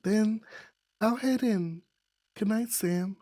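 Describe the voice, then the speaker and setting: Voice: mid-range voice, slow, warm tone, dry recording, voice pushed up, close to an everyday voice. A performer reading a visual novel voices a shy, anxious young woman in love, hesitant, earnest and apologetic. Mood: gentle.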